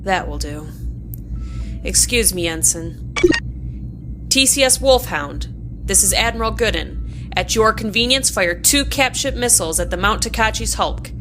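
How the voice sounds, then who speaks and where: An actress plays a no-nonsense female admiral in a sci-fi audio drama. She speaks in clipped, authoritative orders, with music playing clearly behind her. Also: medium pitch, expressive, unhurried, long pauses, natural voice. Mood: brisk, commanding. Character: commanding, no-nonsense female space navy admiral.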